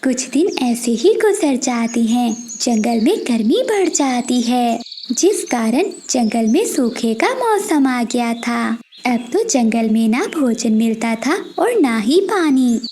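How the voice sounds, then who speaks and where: A woman reading scripted narration for a children's animated story. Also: on the high side, slow pace, slight background noise.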